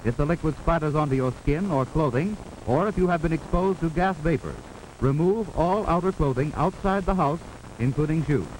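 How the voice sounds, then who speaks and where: An American man narrating a 1940s instructional film in a formal, authoritative, measured way, on an old film soundtrack. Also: on the high side, a little mellow, slight background noise, poor audio. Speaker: narrator of a wartime civil defense instructional film.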